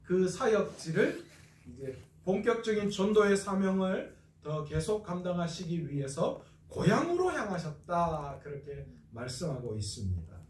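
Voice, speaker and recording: A man's voice: sits high, very animated, a little slow, roomy sound, far from the mic. A man from North Gyeongsang, South Korea, preaching an expository Bible lecture as a pastor, asking questions and answering them himself.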